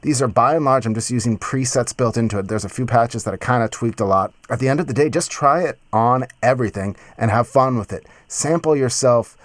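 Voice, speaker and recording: A man in his thirties giving a casual, enthusiastic music software tutorial in a conversational way, close on a mic in a home studio. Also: medium pitch, fairly quick, few pauses, dry recording. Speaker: music production tutorial host. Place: small home studio, indoors.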